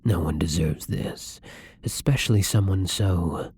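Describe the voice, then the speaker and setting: Man acting a husky, breathy young vampire. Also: low-pitched, a little slow, full character voice. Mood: concerned, gentle. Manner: soft, hesitant, breathy, often dropping to whispers. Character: newly turned young male vampire, apologetic, timid, hungry. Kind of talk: intimate ASMR roleplay acting.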